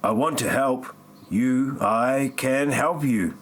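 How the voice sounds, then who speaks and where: Man acting a very slow, halting doctor. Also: mid-range voice, a little hiss or hum, natural voice. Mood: coaxing. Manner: halting, broken phrasing. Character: halting, insistent doctor pushing medication. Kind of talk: amateur parody audio drama acting.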